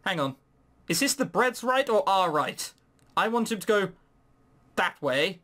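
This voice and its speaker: A man's voice: high voice, very animated, unhurried, bright, forceful tone. A man giving casual, sarcastic gaming commentary with some swearing, sometimes reading game text aloud. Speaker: let's play host.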